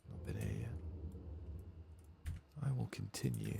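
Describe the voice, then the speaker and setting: A man doing a soft-spoken ASMR game playthrough, murmuring and often slipping into a whisper. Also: fairly low pitch, huge pitch swings, a little slow, gravelly, slight background noise. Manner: murmuring, drifting between soft speech and whisper. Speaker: ASMR gaming streamer.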